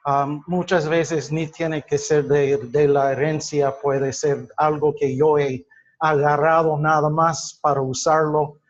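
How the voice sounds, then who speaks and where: A middle-aged or older man from the United States, lecturing to a class in a halting, deliberate way with drawn-out pauses. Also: on the high side, level tone. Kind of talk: explanatory class lecture with personal examples. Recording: dull lo-fi sound.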